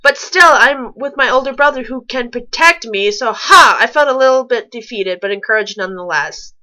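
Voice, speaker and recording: A woman's voice: on the high side, animated, moderate pace, pushed and bright, low-quality recording. A woman reading fan fiction aloud with sarcastic, incredulous casual commentary, stumbling over the text now and then.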